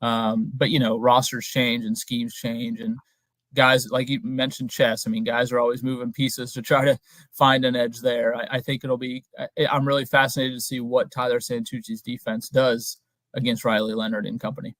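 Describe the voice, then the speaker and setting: Young guy reporter on a video call, measured, analytical, dry sound, average pitch. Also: flat delivery, fairly bright tone, no room sound. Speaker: guest reporter. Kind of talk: casual analysis interview. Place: indoor room at home. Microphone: webcam on a video call.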